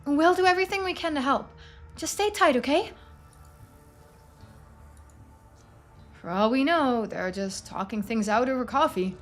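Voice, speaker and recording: A woman acts out a polite small-town woman for a story game. The voice sits raised and soft-hearted, with a folksy, reassuring manner and a touch of hesitation. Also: high-pitched, animated, fairly quick, soft background music, voice pushed up. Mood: anxious, soothing.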